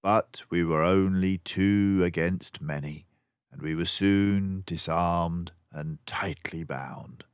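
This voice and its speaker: A man's voice: sits low, slow pace, smooth, no echo. An older man reading a children's book aloud as a storyteller. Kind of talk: scripted narration of a children's book.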